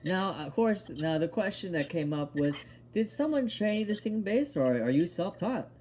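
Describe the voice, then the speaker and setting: Higher-pitched male interviewer. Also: animated, normal speed, darkish tone, slight background noise. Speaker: interviewer, host. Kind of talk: conversational interview questions.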